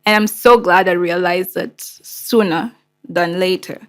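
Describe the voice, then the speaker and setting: A woman's voice: fairly low pitch, unhurried, a bit bright. A woman with a Rwandan accent and Kinyarwanda as first language, hosting and telling a personal story in a candid, conversational, emphatic way. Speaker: show host.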